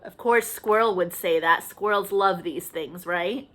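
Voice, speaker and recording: A woman hosting story time for young children, animated and sing-song, talking to them with questions and encouragement. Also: fairly low pitch, a little slow. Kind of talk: children's storytime read-aloud with singing and asides.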